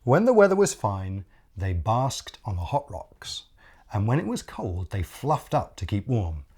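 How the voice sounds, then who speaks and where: A man reading a picture book aloud as an expressive children's storyteller, deliberate, with dramatic pauses. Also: on the low side, husky.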